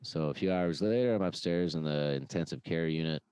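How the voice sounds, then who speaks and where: Podcast guest: man with a very deep, smooth, loud voice, earnest storyteller, dry close sound. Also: fairly quick, no room sound. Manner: earnest, with frequent "uh" and "um". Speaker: former police officer. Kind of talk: conversational personal storytelling.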